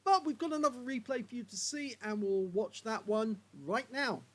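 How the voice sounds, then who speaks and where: A hoarse man commentating on game replays in a chatty way, filling pauses with uh and um. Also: very high voice, a bit bright, slight background noise.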